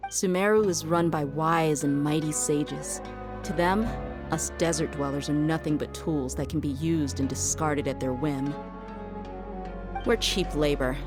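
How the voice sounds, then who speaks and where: A tough desert mercenary from a video game, with a low, steady voice. She speaks seriously and firmly, protective and resentful of injustice. Music plays clearly behind her. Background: game background music.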